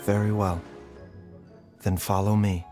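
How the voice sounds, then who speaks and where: An actor voicing a calm male doctor and herbalist in a video game, speaking in a measured, formal, articulate way. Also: fairly low pitch. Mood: grave, measured. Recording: game dialogue over background music.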